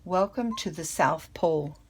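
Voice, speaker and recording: Grandmother storyteller: older female, lowish and husky, children's read-aloud narration. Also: a little slow. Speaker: grandmother reading a picture book.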